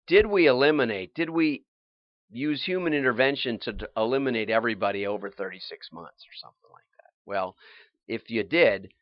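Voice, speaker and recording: A man hosting a livestream, explaining things informally in a halting, think-aloud way with restarts and asides. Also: mid-range voice, no echo, highs cut off. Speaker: livestream host and marketing consultant.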